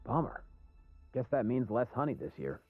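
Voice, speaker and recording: A man's voice: medium pitch, big pitch swings, moderate pace, dry recording, phone-quality sound. An actor playing an easygoing, teasing, practical male friend in a video game, casual and wry. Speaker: game character. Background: music.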